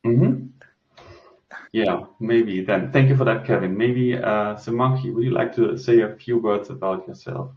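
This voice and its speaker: A man's voice: lowish voice, a little slow. Distant, roomy man rambling through a chat. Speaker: host and crypto project lead. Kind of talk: casual group Q&A talk. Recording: roomy, distant, dull online-call audio.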